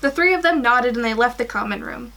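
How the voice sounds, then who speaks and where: Young woman reading fan fiction out loud, really high bright voice, casual and chatty. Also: animated, fairly quick. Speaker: guest reader on a fan fiction livestream. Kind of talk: reading a fan story aloud amid casual chat. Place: small attic room at home.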